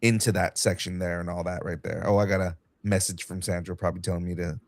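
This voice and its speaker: Young man hosting, raspy with a cold. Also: low voice, fast. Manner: excitable, swears freely, stammers and restarts. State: light cold with a cough. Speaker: podcast host. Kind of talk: casual podcast chat.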